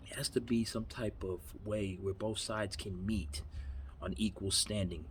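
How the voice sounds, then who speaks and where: Man, 30s, from New York; low voice, earnest rambling vlog monologue, faint backyard ambience. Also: steady tone, unhurried, slight background noise. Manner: earnest, rambling, repeats himself. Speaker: vlogger. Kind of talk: motivational monologue. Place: backyard outdoors.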